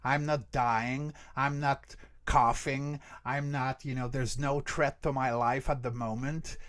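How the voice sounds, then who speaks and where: A man speaking conversationally as an interview guest, explaining vocal technique in an animated teaching way and checking in with the listener. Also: mid-range voice, slow, no echo, clean studio sound. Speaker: creature voice actor, interview guest.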